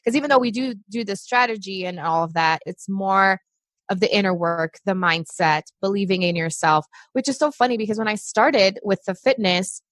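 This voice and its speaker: A woman's voice: mid-range voice, normal speed, a bit bright, dry recording. Chatty woman from Brazil, dry close sound. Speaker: podcast guest, success coach for moms. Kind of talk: casual interview chat.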